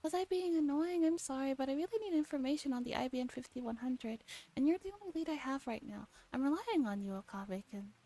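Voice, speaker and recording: A woman's voice: high voice, expressive, quick pace, hardly any pauses, mellow. A woman voicing an anxious young friend's pleading, apologetic message from a visual novel.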